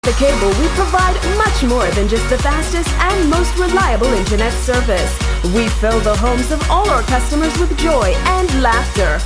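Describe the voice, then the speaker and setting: A woman reads a commercial script with upbeat energy. Her voice sits a little high and moves lively up and down, over loud electronic music. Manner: upbeat, lively sales read.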